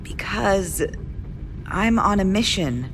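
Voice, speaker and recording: An actress playing a cool, composed resistance leader with a measured, formal, superior manner. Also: female voice, mid-range voice, expressive, noisy background. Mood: resolute.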